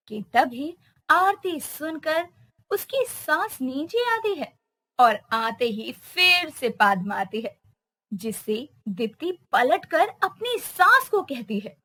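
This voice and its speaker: Lively, high woman storyteller. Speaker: narrator. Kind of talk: storytelling narration.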